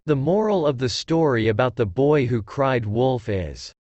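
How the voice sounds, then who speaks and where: A man's voice: mid-range voice, lively intonation, measured pace, dull audio, loud music behind the voice. A man narrating a scripted story for children.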